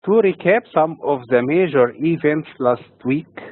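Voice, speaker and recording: A man from Egypt hosting a weekly financial market update, reading dates and figures in a steady, measured way. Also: a little high, dry recording. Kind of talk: scripted market commentary. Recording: dry, phone-like narrow sound.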